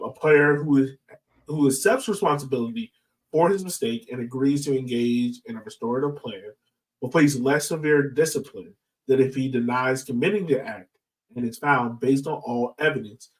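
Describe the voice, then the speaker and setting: A man's voice: mid-range voice, normal speed, far from the mic, poor audio. A man hosting a casual podcast discussion in a conversational way, often leaning on "like" and "you know". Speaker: podcast host.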